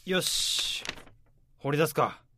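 A man's voice: a little high, lively intonation, slow, a little hiss or hum, heavily acted. A man acting in a radio drama as a lone man on a search, muttering and exclaiming to himself as he muses aloud. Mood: musing, curious. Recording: loud music under the voice.

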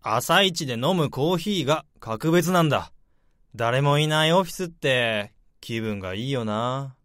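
A man's voice: mid-range voice, animated, unhurried, a bit bright, long reverb tail. An actor playing a young male section chief with a guilty conscience in a radio drama. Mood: breezy. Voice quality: breezy at first, then anguished inner monologue.

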